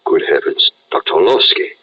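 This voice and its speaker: An actor playing a formal, bewildered foreign count and ambassador in a 1940s radio drama. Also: male voice, close to an everyday voice. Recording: muffled vintage radio recording.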